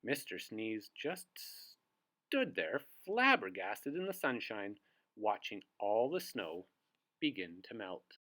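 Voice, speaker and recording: Lively man reading a children's storybook. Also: lowish voice, slow. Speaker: storybook reader and co-host. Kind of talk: animated children's read-aloud.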